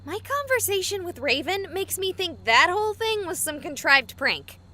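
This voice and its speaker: A woman's voice: very high voice, very animated, moderate pace, sharp tone, heavily acted. An actress voicing a young woman streamer, skeptical and sarcastic but rattled by a haunting. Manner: sarcastic, flustered. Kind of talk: visual novel voice acting.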